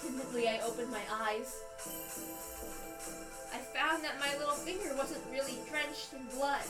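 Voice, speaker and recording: A woman with a very high voice gives a dramatic first-person reading of a visual novel. Her delivery is tense and deliberate. She sounds set back from the mic in a roomy space, with clear music underneath. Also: far from the mic. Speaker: reader on a stream.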